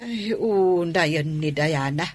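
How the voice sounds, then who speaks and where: An actress playing a concerned, questioning woman of the family who gently reproves others in a radio drama. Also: low voice, slow, no echo. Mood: concerned, reproving. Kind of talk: Ilocano radio drama acting.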